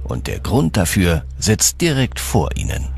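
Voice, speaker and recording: A man reading scripted documentary narration in a measured, dramatic storytelling manner. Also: lowish voice, very animated, vocal fry. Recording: dramatic music under the voice. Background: background music.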